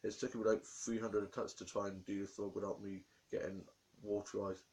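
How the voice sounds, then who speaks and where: A young man with a croaky voice from a cold, rambling through a diary vlog about his day. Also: fairly low pitch, little pitch movement, brisk, a little mellow. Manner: rambling, run-on storytelling. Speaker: vlogger.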